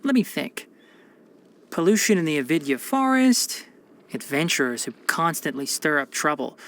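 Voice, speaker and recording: Young fox-eared forest ranger for a video game, brainy n dry, bookish wording, pitch moves around a lot. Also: male voice, a bit bright. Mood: calm, explanatory. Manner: articulate, bookish, dryly sardonic. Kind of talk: scripted video game character voice lines.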